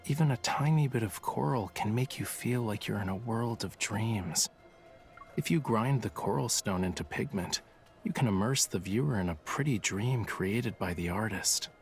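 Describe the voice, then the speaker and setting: A man plays a young artist from a romance game, teasing and secretive. His lowish voice stays nonchalant and coy, with soft music playing faintly behind it. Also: normal speed, lightly acted. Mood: musing, coy. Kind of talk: English-dubbed romance game voice acting.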